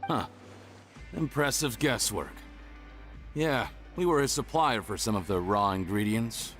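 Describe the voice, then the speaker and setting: A man's voice: on the low side, expressive, measured pace, music in the background. A man playing a smug, scheming villain in an anime game who bargains coldly. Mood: calculating.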